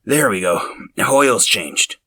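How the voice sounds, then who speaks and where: A man's voice: on the low side, lively intonation, slow, sharp tone, breathy edge, dry recording, full character voice. A man voicing a coy young motorbike lover, casual and shyly teasing, in a narrated story. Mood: sheepish, casual.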